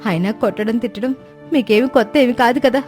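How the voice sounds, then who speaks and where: A woman's voice: on the high side, brisk, darkish tone, lightly acted. A woman voicing an outspoken, aggrieved sparrow wife in a cartoon moral story, speaking in a plaintive, reproachful way. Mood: defiant, bitter. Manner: plaintive, reproachful, long emotional speeches. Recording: music bed behind voice.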